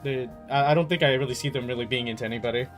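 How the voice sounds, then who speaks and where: A man giving casual gaming commentary as a streamer, talking in an animated, slangy, exasperated way. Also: mid-range voice, racing, soft background music. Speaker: game streamer.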